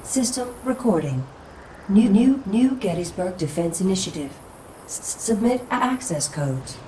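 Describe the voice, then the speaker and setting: A woman's voice: medium pitch, expressive, measured pace, mellow, close to an everyday voice. Woman playing female military AI, damaged, cold, formal, clipped, mechanical, distant from mic, noisy background, game dialogue. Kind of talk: video game voice acting.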